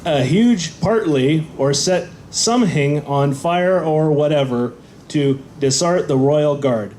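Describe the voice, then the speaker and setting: A man haltingly reading a story aloud as a reader on a live convention panel. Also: a little high, a little mellow, a little hiss or hum.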